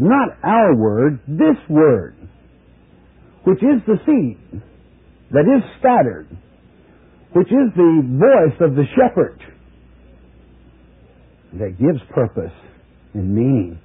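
A male pastor teaching scripture in a slow, measured way, his voice husky and a little high for a man. He lets phrases sink low at their ends. The sound is thin and narrow, like a broadcast heard over a phone line. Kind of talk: Bible teaching sermon. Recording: tinny narrow-band broadcast audio.

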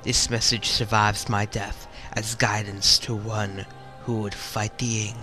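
A man voicing a solemn dead alien temple sentinel with a put-on British accent, reading in a grave, measured, formal way. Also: lowish voice, little pitch movement, over music, lightly acted.

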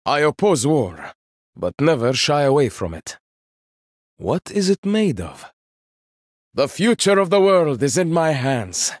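An actor voicing a proud, witty young male inventor-warrior in a video game, speaking in measured, confident lines with dry wit. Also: fairly high pitch, sing-song, no echo. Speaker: game character.

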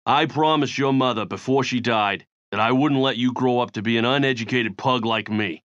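A man's voice: medium pitch, moderate pace, fairly bright tone, no room sound, natural voice. Man playing a stern, weary prizefighter father, muffled. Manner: firm, earnest fatherly insistence. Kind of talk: comic-book audio drama acting.

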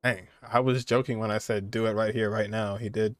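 A man's voice: on the low side, little pitch movement, brisk, slight background noise. A man in his twenties streaming a game, giving informal, slangy live reactions and commentary. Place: indoor streaming setup, game audio behind.

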